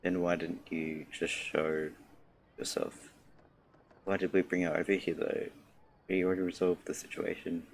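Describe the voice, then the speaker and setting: A woman streaming a game with a very deep, gravelly, flat voice. She mutters wry asides over the dialogue, with soft music behind. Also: moderate pace, pauses often, slight background noise. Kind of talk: casual game commentary.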